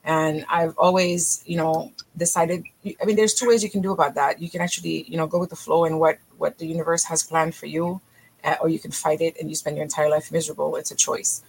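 Woman, middle-aged, from Haiti, low voice, energetic, emphatic, podcast guest. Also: creaky, a little hiss or hum, rough recording. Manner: energetic, emphatic, quick bursts with restarts. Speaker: physician guest. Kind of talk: podcast interview.

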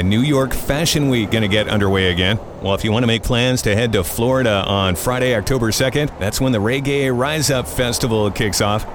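A man's voice: medium pitch, noisy background. A man hosting a morning-show style program with casual, wisecracking, conversational patter. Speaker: radio show host. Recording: music bed behind the voice. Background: background music.

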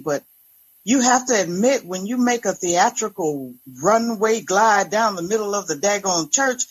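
A woman plays a pastor's wife narrating her own story in a husky voice. She is wary and a little exasperated, telling it as a dramatic first-person audiobook reading. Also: mid-range voice, poor audio. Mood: wary, pointed. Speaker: dramatic reader.

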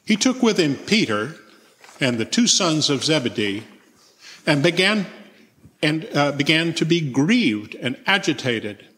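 Male scripture reader for narration, bright and forceful, recorded in a reverberant church. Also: on the high side, sing-song, a little slow, slight background noise. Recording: echoey church hall, long reverb.

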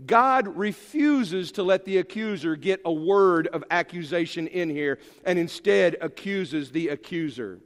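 Animated preacher in a reverberant hall. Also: male voice, high-pitched, unhurried, hardly any pauses. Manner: animated, emphatic preaching with sudden drops to quiet. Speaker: pastor. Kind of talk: sermon.

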